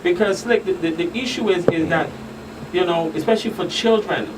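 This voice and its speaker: A man's voice: sits high, fairly quick. A man speaking as a guest expert in a radio talk show discussion, emphatic and repeating phrases for stress. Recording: distant mics, loud air-conditioning hum.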